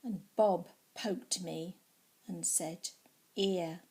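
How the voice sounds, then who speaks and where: Female storybook reader: very slow delivery, highly animated pitch, theatrical with hushed dips. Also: slight background noise. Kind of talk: expressive children's story reading.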